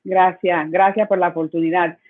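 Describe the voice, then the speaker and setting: A woman's voice: on the low side, little pitch movement, normal speed. A middle-aged woman from Brooklyn answering interview questions earnestly over a video call from home, often restarting her words. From New York, Brooklyn. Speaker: mayoral candidate guest. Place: home room with bookshelves. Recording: tinny phone-like video call audio.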